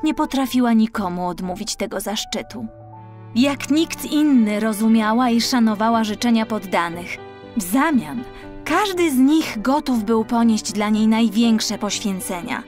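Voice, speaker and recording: A woman narrating a scripted fairy tale for children, an audiobook storyteller with expressive, carefully phrased delivery. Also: a little high, measured pace, soft background music.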